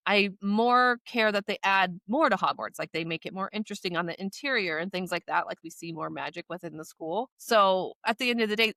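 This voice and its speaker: A woman's voice: mid-range voice, sharp tone, no echo. An American woman co-hosting a podcast in casual chat, loosely hedging and often saying "like". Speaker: podcast co-host.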